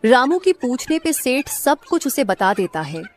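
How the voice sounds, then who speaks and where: A woman's voice: high voice, big pitch swings, unhurried, soft background music. A woman reading scripted narration as the narrator of a story.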